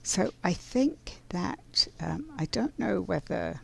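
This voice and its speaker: Woman host, scratchy and very gravelly, slow and reflective, for a casual card reading. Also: lowish voice, very animated, darkish tone. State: scratchy voice from pollen. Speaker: spiritual card reader.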